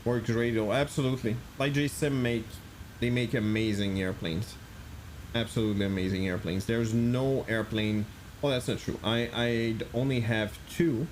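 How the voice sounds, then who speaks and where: A man's voice: mid-range voice, steady tone, moderate pace, a little hiss or hum, low-quality recording. A man streaming a flight simulator, chatting casually, thinking aloud and answering chat with many fillers.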